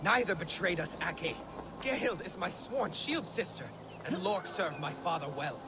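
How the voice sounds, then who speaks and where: A man's voice: sits high, animated, moderate pace, noisy background. A man playing a troubled, loyal Viking clan leader in a video game, speaking in an earnest, commanding way. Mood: firm. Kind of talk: video game character acting.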